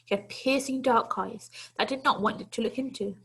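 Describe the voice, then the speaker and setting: A woman's voice: on the high side, moderate pace, fairly bright tone, husky. A woman teacher reading a children's story aloud to pupils, expressively and with dramatic, emphatic phrasing.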